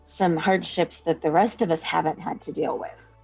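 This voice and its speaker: Gravelly-voiced playful woman co-host. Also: low-pitched, steady tone, moderate pace, a little mellow. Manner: playful, laughing, rambling. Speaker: podcast co-host. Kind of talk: casual chat, reading chat questions. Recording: muffled lo-fi stream audio with faint music.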